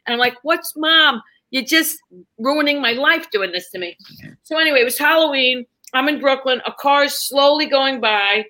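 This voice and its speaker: New york lady telling stories on a podcast, acts out all the voices, bright and kinda high. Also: normal speed, rough recording. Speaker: podcast guest. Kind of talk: casual storytelling chat.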